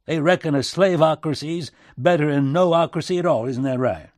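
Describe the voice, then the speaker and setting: A man's voice: fairly high pitch, moderate pace, darkish tone, dry recording, studio quality, voice pushed up, lightly acted. A man voicing a weary, bitter American president with a folksy back-country accent and an emphatic, sardonic manner in an audiobook. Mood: agitated, sardonic.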